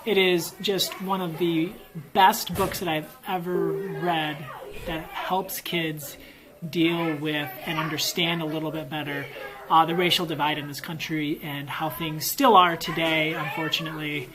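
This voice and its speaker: A man's voice: fairly high pitch, level tone, a little slow, crowd noise, rough recording. An enthusiastic American man, a teacher casually recommending books in a vlog, emphatic and given to repeating himself for emphasis. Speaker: elementary school teacher and book reviewer. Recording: faint background noise, ambient sound.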